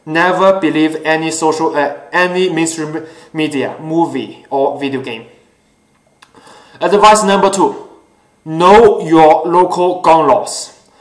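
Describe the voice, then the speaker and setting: Slow-talking man, Chinese his first language. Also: a little high. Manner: earnest, deliberate, many pauses and fillers. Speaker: host. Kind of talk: casual solo talk show. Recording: echoey room.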